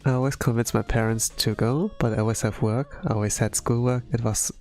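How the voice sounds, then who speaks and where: A man's voice: mid-range voice, fairly even intonation, a little fast, a little mellow. German young man streamer, casual rambling chat, faint music behind. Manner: rambling, many fillers.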